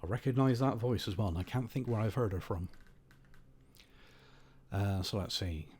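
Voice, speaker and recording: Slightly croaky guy rambling over gameplay, kinda low pitched, wry. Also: normal speed, darkish tone. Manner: rambling, wry, opinionated asides. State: slightly hoarse. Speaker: gaming host. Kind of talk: casual gameplay commentary.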